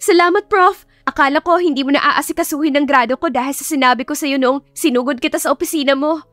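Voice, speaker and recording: A woman voicing an excitable, polite young female college student, bubbly and pleading, in an audio love story. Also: high voice, quick pace, no room sound, soft background music, voice pushed up. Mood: overjoyed, grateful.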